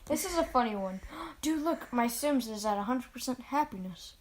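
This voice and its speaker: A woman's voice: fairly high pitch, animated, normal speed, slight background noise. A young woman co-hosting a podcast, chatty and bossy, cutting in with casual comments. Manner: bubbly, bossy, chatty interjections. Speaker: podcast co-host. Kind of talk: casual chat.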